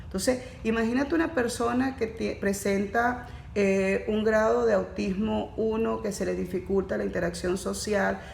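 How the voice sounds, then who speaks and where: Woman with a gravelly voice. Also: medium pitch, steady tone, moderate pace, darkish tone. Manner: explanatory, tells anecdotes with quoted speech. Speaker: neurodiversity course facilitator. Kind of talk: podcast conversation. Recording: echoey room with faint background noise.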